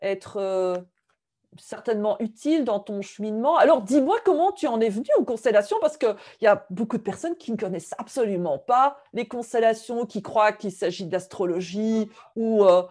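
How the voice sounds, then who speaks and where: A woman's voice: mid-range voice, animated, normal speed, a little mellow. A woman hosting a semi-formal interview, speaking in an effusive, appreciative way. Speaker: interview host and constellation trainer.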